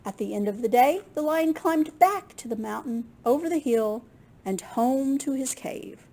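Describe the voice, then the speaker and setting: Slow, animated woman reading a storybook. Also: sits high. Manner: expressive, unhurried, with small asides to listeners. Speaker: children's storytime reader at a library. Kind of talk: expressive picture book read-aloud for children.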